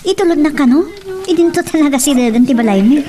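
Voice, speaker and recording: A woman's voice: high-pitched, animated, quick pace, dark tone, slight background noise. A woman acting a feisty young daughter and sweetheart in an Ilocano romance drama, with an Ilocano accent. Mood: concerned.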